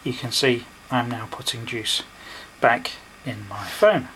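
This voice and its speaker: A man presents a hands-on product demonstration in a medium-pitched voice. He goes step by step in short, unhurried phrases. The home recording is plainly noisy, with clicks. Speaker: product reviewer.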